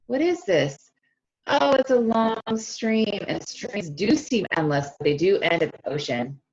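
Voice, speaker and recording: A woman reads aloud for children in an unhurried, very animated way. She stretches important words and talks directly to her young listeners, asking them questions. The recording is a little muffled and lo-fi. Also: mid-range voice. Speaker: speech-language pathologist reading to children.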